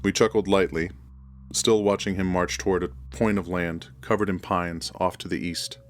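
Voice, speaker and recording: A man reading a first-person horror story in a measured, deliberate storytelling manner. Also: low voice, fairly even intonation. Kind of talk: first-person scripted narration. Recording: music bed under the voice. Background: music.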